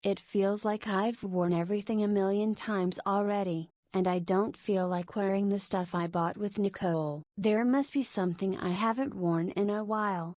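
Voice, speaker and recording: A woman voicing a 40-year-old single mother and school principal in a visual novel, who muses out loud to herself. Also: medium pitch, fairly even intonation, normal speed, hardly any pauses, no echo, studio quality, close to an everyday voice. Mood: reflective. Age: 40s.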